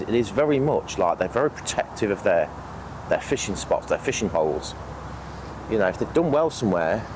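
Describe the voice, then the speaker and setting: A British man with a croaky, sniffly voice from a cold, chatting casually and a little hesitantly as a fishing vlogger outdoors. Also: on the low side, noisy background. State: has a cold. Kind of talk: casual outdoor vlog talk to camera. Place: outdoors on a lake bank.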